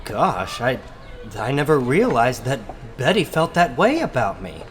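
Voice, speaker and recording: A man voicing an earnest, downcast teenage boy, sheepish and expressive, in a comic book reading. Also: medium pitch, unhurried, slight background noise. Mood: wistful surprise.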